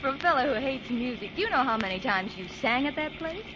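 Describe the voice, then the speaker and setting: A woman's voice: sits high, animated, quick pace. A woman playing a wary but amused young girlfriend in 1940s radio comedy, bantering in a skeptical, teasing way. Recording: muffled, noisy old radio broadcast, crowd behind.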